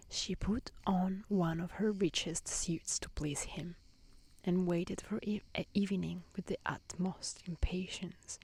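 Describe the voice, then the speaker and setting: A woman reading a fairy tale as soft-spoken ASMR narration, gentle and hushed, often close to a whisper. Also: lowish voice, gravelly, slightly rough, no echo. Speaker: storyteller.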